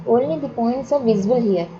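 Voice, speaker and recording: A woman teaching drafting software step by step, halting and often repeating and rephrasing herself. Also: a little high. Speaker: software instructor. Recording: dull lo-fi sound, crowd chatter behind.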